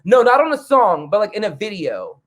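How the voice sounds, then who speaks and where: A man's voice: high voice, wildly swooping pitch, fairly quick. A man speaking as a musician guest in a casual podcast chat, blunt and profane.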